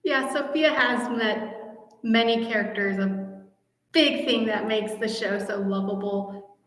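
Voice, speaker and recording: A woman with a fairly high voice hosts a casual live Q&A for fellow fans. She talks with gushing enthusiasm, chatting directly to her viewers. The room is very echoey, leaving a long reverb on her voice. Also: slow pace, a bit bright. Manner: gushing, enthusiastic fan talk. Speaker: host of a fan channel.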